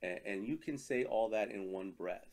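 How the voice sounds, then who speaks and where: A man's voice: on the low side, normal speed, a little mellow. A man speaking on a webinar panel, slightly nasal from a cold, with frequent restarts and fillers. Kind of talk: webinar talk. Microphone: video call.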